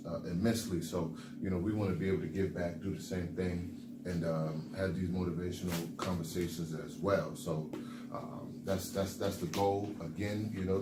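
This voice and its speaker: A young man from the Bronx, co-hosting a motivational podcast in a laid-back way, full of fillers. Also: low voice. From the Bronx, New York. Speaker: podcast co-host. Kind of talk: casual motivational chat. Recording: echoey room with background noise.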